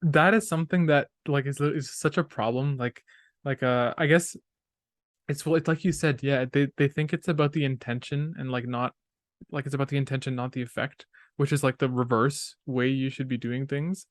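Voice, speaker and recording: A man's voice: medium pitch, fairly even intonation, normal speed, no room sound. A young man from Canada, a podcast guest chatting in a laid-back, slangy way. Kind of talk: casual conversation. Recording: dry, close sound.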